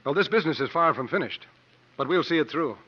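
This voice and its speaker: A man's voice: fairly high pitch, expressive, fast talker, husky. An actor playing a confident, articulate travelling strongman and sleuth in a 1950s radio drama, with formal theatrical phrasing. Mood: assured, probing. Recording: muffled vintage 1950s radio recording.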